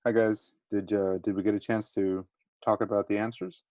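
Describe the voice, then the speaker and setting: Deep-voiced man teaching over video call. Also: flat delivery, normal speed, a little mellow, no room sound. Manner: encouraging, checks in often. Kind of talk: online class discussion.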